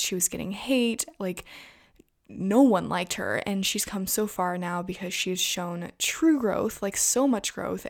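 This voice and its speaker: A young woman with a raspy voice today, chatting casually to her viewers about influencer news and peppering her talk with "like" and "you know". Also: on the low side. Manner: chatty, filler-heavy, frequent "like" and "you know". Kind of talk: casual gossip commentary to viewers.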